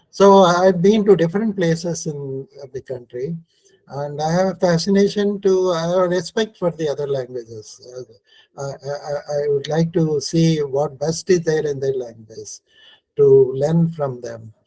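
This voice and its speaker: Older man, Indian English accent, mellow voice, slightly high, hesitant, video call. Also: rough recording. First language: Telugu. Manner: halting, many fillers and restarts. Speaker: guest translator. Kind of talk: online talk answering questions.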